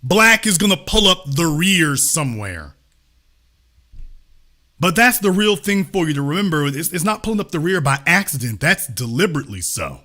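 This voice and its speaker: A man with a high, bright, cutting voice holds forth as a commentary host. He lectures with heavy emphasis and hammers the same point again and again. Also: big pitch swings, moderate pace. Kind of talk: reacting to an interview clip.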